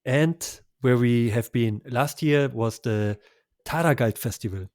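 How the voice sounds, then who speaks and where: A man's voice: medium pitch, a little slow, a little mellow, no echo. Enthusiastic male travel guest, dry sound. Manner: enthusiastic, effusive recommendations with small laughs. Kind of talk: conversational travel interview.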